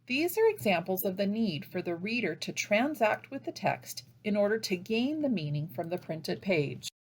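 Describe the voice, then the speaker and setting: An American woman delivers a scripted training presentation for teachers. Her pitch moves up and down in a lively way around a medium register, and she explains points in a measured, instructive manner. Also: a little hiss or hum.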